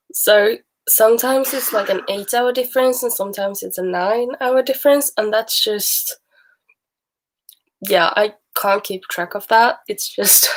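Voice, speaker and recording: Young woman, Swedish accent with Texan and British bits, unhurried, chatty livestream host, a little sick. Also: medium pitch. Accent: Swedish with mixed Texan, Australian and British bits. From Sweden. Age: young adult. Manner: chatty, rambling, laughs often. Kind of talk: casual livestream chat with viewers.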